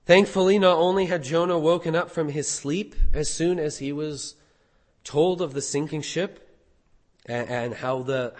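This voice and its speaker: Hoarse preacher with ringing hall reverb. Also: male voice, medium pitch. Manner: animated preaching with rhetorical questions. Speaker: pastor. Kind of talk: sermon.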